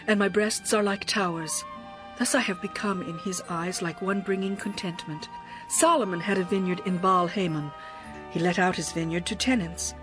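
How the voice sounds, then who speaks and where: Audio drama bride, female actor: young, devoted, expressive scripture verse, medium pitch, light musical bed. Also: lightly acted. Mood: passionate devotion. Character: young bride, devoted and passionate, ancient love poetry.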